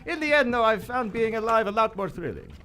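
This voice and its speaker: A man playing a gruff, salty sea captain in a melodramatic live radio adventure, with colorful seafaring talk. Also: sits high, lively intonation, fairly quick, sharp tone. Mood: wry, grumbling. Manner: barks colorful insults and orders. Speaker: actor in live radio theater. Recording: live stage recording with background noise.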